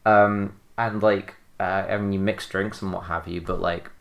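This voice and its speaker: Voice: deep voice, level tone, normal speed. A podcast co-host chatting casually about games in a rambling, hedging way, with lots of filler.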